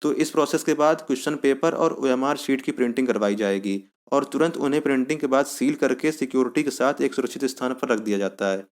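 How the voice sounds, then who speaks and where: Man explaining step by step. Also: mid-range voice. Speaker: exam-news video host. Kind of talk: explanatory scripted narration.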